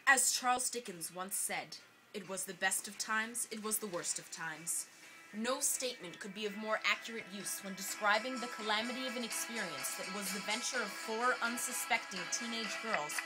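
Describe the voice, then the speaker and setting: A woman's voice: mid-range voice, normal speed, rough recording. A young woman giving a dramatic, mock-grandiose reading of her humorous personal essay. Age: young adult. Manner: theatrical, mock-grandiose delivery. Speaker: essayist reading own work. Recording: loud orchestral film score behind voice. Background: dramatic orchestral music.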